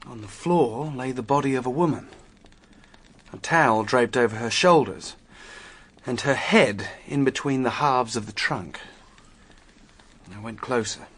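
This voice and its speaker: An actor playing an anxious, earnest young man caught up in a murder in a radio drama, with an agitated, halting delivery. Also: medium pitch, a bit bright. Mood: haunted, tense. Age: young adult.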